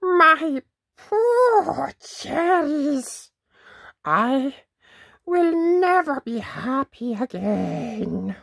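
A woman voicing a small, grumpy, possessive bat in a theatrical, drawn-out way for a children's picture book. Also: high-pitched, very animated, creaky, a bit bright, no room sound, clean studio sound, voice pushed up, full character voice. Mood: despairing. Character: grumpy, dramatic, possessive little cartoon bat.

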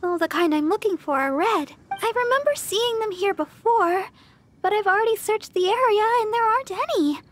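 A woman voicing a sweet, earnest young church deaconess in a video game, speaking politely, gently and hesitantly. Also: very high-pitched, animated, moderate pace. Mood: wistful. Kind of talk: video game character dialogue.

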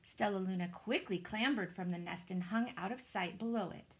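A woman, reading a children's story aloud in a dramatic, deliberate way. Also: mid-range voice, vocal fry. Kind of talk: expressive children's storybook narration. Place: small indoor room with shelves.

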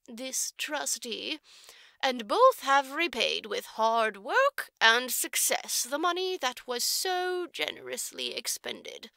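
A woman voicing a prim, condescending orphanage matron in an audiobook, with slow, formal, drawn-out delivery. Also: high voice, gravelly, sharp tone, no echo, exaggerated voice. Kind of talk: audiobook character voice.

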